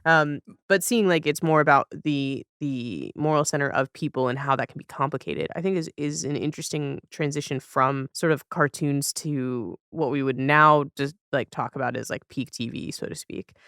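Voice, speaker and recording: Podcast host, mid-pitched and smooth, close dry sound, thoughtful conversational delivery that often rephrases. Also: normal speed, hardly any pauses, a bit bright, no room sound.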